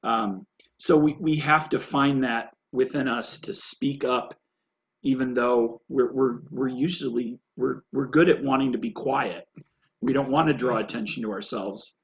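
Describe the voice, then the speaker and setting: An American man telling a personal story earnestly and haltingly as a panelist, over muffled, lo-fi video call audio. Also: medium pitch.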